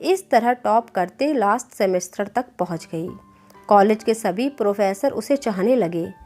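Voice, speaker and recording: A woman's voice: mid-range voice, darkish tone. A woman reading a scripted moral story as a storyteller. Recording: clear background music bed. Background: music.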